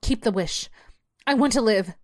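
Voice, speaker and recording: Woman as a scared teenage girl, high, urgent, defiant, pleading, horror storybook, dry sound. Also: lively intonation, measured pace, no room sound. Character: defiant, frightened fourteen-year-old girl in a horror story.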